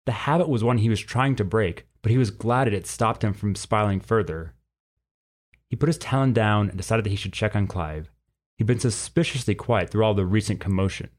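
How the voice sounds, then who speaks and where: A man reading a scripted story as narrator, in an expressive way. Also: lowish voice, brisk.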